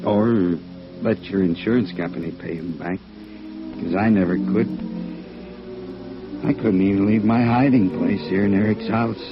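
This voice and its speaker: An actor playing a ruined alcoholic violinist, weary and remorseful, halting and dramatic in 1950s radio drama style. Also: male voice, low voice, fairly even intonation. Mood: remorseful, broken. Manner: halting, dramatic, self-loathing. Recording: dull vintage radio recording, music behind.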